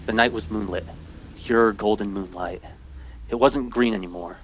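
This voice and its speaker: A man's voice: lowish voice, normal speed, slight background noise, close to an everyday voice, low-quality recording. An actor playing a man who recounts his own ordeal as sombre, dramatic first-person narration in an old-time radio drama. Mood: relieved.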